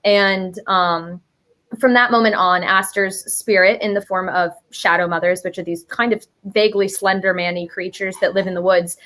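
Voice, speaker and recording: A woman's voice: medium pitch, fairly even intonation, moderate pace, fairly bright tone. A young adult woman chatting casually as an interview guest, explaining her creative work in a giggly, slangy, playful way.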